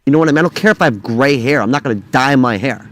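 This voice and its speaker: A man's voice: mid-range voice, racing, a bit bright. A man in his thirties talking in a casual vlog, chatty, blunt and joking. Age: 30s. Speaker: video creator.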